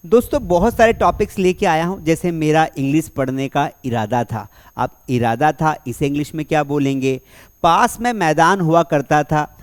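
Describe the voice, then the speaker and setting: A young man teaching spoken English, asking the class questions and slowly repeating example sentences word by word. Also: fairly high pitch. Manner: energetic classroom delivery, slow dictation of example sentences; asks rhetorical questions and repeats answers. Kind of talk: spoken English lesson in Hindi.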